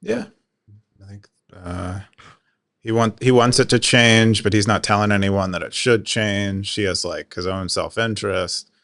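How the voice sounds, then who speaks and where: A man's voice: on the low side, a little slow, fairly bright tone, no echo, studio quality. A man co-hosting a casual podcast, explaining technical ideas plainly in a loose, chatty way with lots of fillers. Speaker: podcast co-host. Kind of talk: casual chat.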